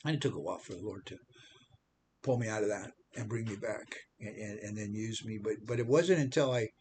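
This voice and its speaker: Older American man, 70, faith vlogger, halting self-mocking testimony, mid-pitched voice, faint rumble in the background. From United States. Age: 70s. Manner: rambling, repeats words and restarts, self-deprecating. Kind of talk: personal testimony monologue.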